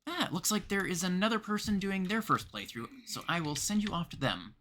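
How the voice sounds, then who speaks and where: A man's voice: sits high, lively intonation, brisk, bright, forceful tone, slight background noise. A man from Canada chatting casually as he livestreams a game.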